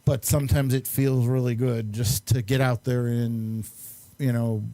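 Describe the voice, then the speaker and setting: Male host for casual film chat, soft mellow tone, very dry sound, halting and meandering delivery. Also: medium pitch, level tone, no echo. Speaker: host and amateur filmmaker. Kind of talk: casual chat and movie reviews.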